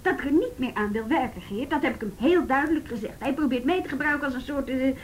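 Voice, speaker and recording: A woman's voice: quick pace, lightly acted. An actress playing a conscientious, plain-spoken and slightly wry female juvenile police officer in a radio drama. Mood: uneasy. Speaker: actor in a radio play. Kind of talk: Dutch radio drama acting. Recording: noisy radio play recording with rumble.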